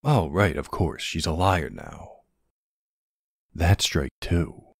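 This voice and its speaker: Man playing a very deep, gravelly older boyfriend. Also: very animated, takes it slowly, no room sound, clean studio sound, heavily acted. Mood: stern, threatening. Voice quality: husky, gravelly. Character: protective, gruff, tender older boyfriend. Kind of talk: ASMR boyfriend audio roleplay.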